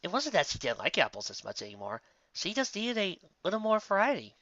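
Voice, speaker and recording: Animated, bright-voiced story reader. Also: medium pitch, fairly quick. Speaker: amateur fan fiction reader. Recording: slightly dull, close dry recording.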